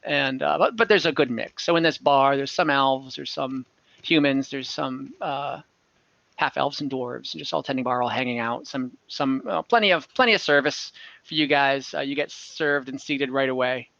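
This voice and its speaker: A man improvising game master narration in a halting, informal, jokey way over a video call. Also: mid-range voice, gravelly, a bit bright, dry recording. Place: indoors at home on a video call. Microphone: computer or headset mic on a video call. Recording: dull, compressed video call audio.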